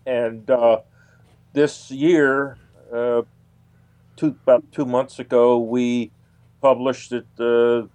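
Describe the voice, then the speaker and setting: A man answering as an author guest on a radio interview, halting and deliberate in his phrasing. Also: medium pitch, little pitch movement, darkish tone, clear, resonant tone.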